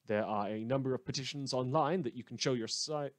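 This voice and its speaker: A man's voice: mid-range voice, fairly quick, dry recording, clean studio sound, lightly acted. A man playing a wry, rambling radio newscaster in a fictional post-apocalyptic news broadcast, speaking with theatrical emphasis and asides. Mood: wry, ominous.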